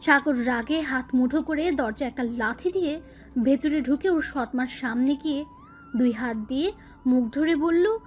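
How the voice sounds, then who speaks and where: A woman narrates a scripted audio story. Her voice sits high, and music plays behind her. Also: unhurried, a little mellow. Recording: music bed under the voice. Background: background music.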